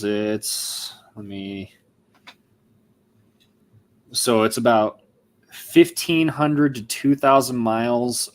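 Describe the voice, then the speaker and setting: A man co-hosting a casual discussion, thinking aloud in a halting, informal way. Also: fairly low pitch. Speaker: co-host.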